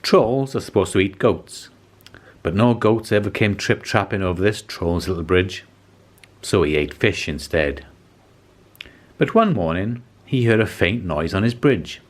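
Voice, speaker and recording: A man reading a children's story aloud as an expressive, deliberate narrator. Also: lowish voice. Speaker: storybook reader.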